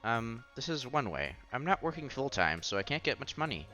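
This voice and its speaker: A man's voice: mid-range voice, big pitch swings, brisk, pushed and bright. A man hosting a casual read-aloud playthrough of a visual novel, reading narration and dialogue with occasional stumbles and side comments. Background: background music.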